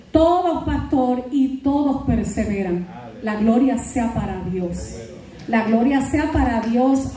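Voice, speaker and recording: A woman's voice: high voice, warm tone. A middle-aged woman pastor preaching a fervent sermon, peppering her words with hallelujahs and praise. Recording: very echoey hall, voice from far off.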